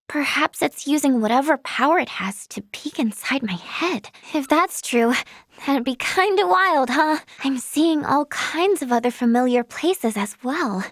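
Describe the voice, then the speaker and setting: A cheerful young female healer from a video game. Her voice is high and girlish, with lively, bouncing intonation in a dry, close recording. She is earnest and bubbly, and trips over her words when flustered. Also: no room sound. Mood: wistful, gentle. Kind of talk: animated video game voice acting.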